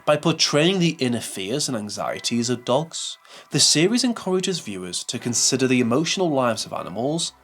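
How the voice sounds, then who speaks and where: A man reading a scripted video essay as narrator, in a measured, formal way. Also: mid-range voice, big pitch swings, fairly bright tone.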